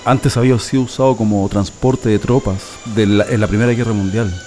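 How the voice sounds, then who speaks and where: A man's voice: fairly low pitch, steady tone, a little fast, warm tone, soft background music, natural voice. An actor playing a proud, nostalgic political prisoner in a radio drama, telling his story reflectively with a Chilean accent. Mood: earnest, reflective. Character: male political prisoner, proud nostalgic communist militant, immigrant's son.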